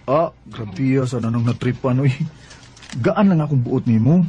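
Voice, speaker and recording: A man's voice: medium pitch, animated, moderate pace, dark tone, breathy edge, lo-fi sound. A man acting a smitten working man with a wandering heart in a Bisaya radio drama, with a Cebuano Filipino accent. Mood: earnest, wooing.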